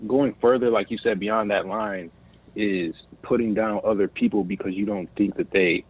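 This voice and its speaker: A man's voice: lowish voice, fairly even intonation, moderate pace, slightly rough. An American man calling in to a casual discussion, speaking hesitantly and searching for his words over a phone-like connection. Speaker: caller. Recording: tinny phone-like line.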